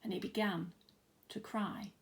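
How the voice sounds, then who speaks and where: A woman's voice: mid-range voice, fairly even intonation, unhurried, a little hiss or hum. A woman narrating a children's storybook expressively, like a teacher reading aloud.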